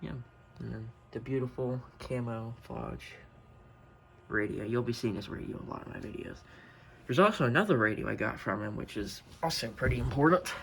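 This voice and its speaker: A man giving a casual, unscripted show-and-tell as a hobbyist collector, rambling and halting as he corrects himself. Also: mid-range voice, creaky, a little hiss or hum, rough recording.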